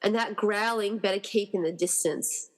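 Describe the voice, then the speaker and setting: A woman's voice: mid-range voice, normal speed, in a large hall, lightly acted, poor audio. A woman playing an anxious but determined hero in a radio play, earnest and wryly muttering. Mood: wry, uneasy. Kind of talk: amateur radio-play acting.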